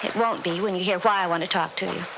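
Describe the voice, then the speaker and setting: A woman's voice: on the low side, steady tone, quick pace, close to an everyday voice. An actress playing a mature, haughty society woman, formal and scolding, in a 1960s radio drama. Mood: reproachful. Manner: formal, pompous, crisp reproach. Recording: noisy 1960s radio recording.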